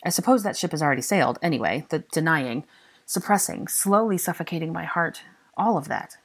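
A woman voicing a lovesick, exasperated young man in dramatic audiobook dialogue, impassioned and sardonic, with halting phrasing. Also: deep voice, expressive, husky, exaggerated voice.

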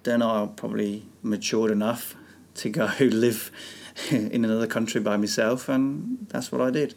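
A man's voice: fairly low pitch, moderate pace, creaky. A man from Denmark, a native Danish speaker with a blended English and slightly Australian accent, chatting casually and rambling as an interview guest. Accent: blended English with Australian tinge. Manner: relaxed, rambling with restarts. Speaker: speedway rider guest.

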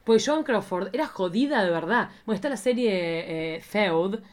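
A woman's voice: medium pitch, animated, moderate pace. An Argentine woman hosts a casual podcast about films. She talks in a playful, campy way, full of slang. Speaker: podcast host. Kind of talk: casual film chat.

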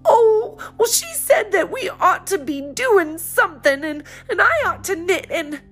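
A woman's voice: extremely high pitch, animated, measured pace, pitched above the performer's own voice, exaggerated voice. A woman voicing a tearful, earnest young girl who pleads breathlessly through her crying. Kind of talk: audiobook character voice.

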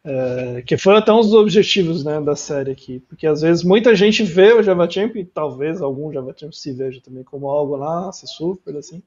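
Easygoing guy hosting a chat, fairly high voice, muffled audio. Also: moderate pace, few pauses, darkish tone. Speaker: host. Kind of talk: casual interview chat.

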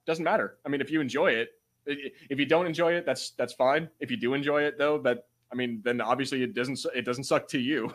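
A male podcast host in relaxed conversation. He talks informally, leaning on slang and the occasional swear word. The delivery is off-the-cuff banter between co-hosts. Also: mid-range voice, a little fast.

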